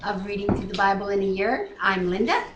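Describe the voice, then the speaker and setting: A woman with a medium-pitched voice co-hosts a home Bible reading in a conversational, informal way. She sounds captured by a webcam microphone in a very echoey room with faint noise. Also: animated, brisk, lo-fi sound. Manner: conversational, adds informal asides. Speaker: co-host reading the Bible aloud. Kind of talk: casual chat and scripture reading. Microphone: webcam microphone at a distance.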